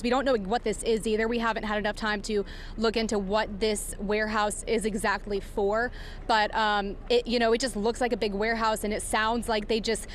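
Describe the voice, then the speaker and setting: A woman working as a field news reporter, giving a live breaking report in a halting, improvised, explanatory way from outdoors. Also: fairly high pitch. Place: outdoors outside a warehouse. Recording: clear background noise outdoors.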